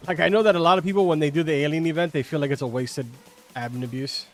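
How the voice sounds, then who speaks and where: Young male streamer, Spanish as his first language, slightly high voice, loose and chatty, soft music underneath. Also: fairly even intonation, quick pace. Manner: chatty, filler-heavy, answers chat questions. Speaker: game streamer. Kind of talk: casual live-stream chat with viewers. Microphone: studio microphone. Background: faint game music.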